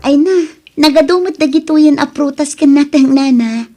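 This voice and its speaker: A woman's voice: high voice, moderate pace, over music, natural voice. A woman playing a grateful, polite young daughter in an Ilocano radio drama, with an Ilocano accent.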